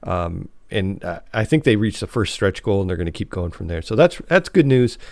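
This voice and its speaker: A man's voice: sits low, sing-song, moderate pace, vocal fry, no echo. A man hosting a podcast in a casual, informal and slightly rambling chat style. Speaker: podcast host.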